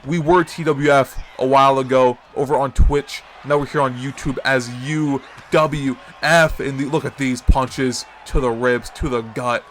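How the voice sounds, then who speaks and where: A man with a stuffy nose and sore throat calling a wrestling match as host, excitable and jumping to shouts on big moves. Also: mid-range voice, a little hiss or hum. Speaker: wrestling commentator and show host. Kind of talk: play-by-play commentary.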